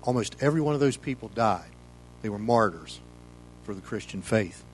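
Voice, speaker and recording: A man's voice: lowish voice, animated, moderate pace, slight background noise, rough recording. A middle-aged or older American man giving an informal sermon as a pastor, in a folksy, rambling, conversational way full of "you know.". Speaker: pastor leading a Bible study.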